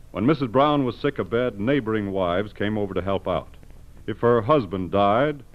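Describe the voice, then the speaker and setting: A man announcing for a 1940s radio programme and its sponsor in a formal, deliberate, scripted style. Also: mid-range voice. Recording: muffled vintage radio broadcast.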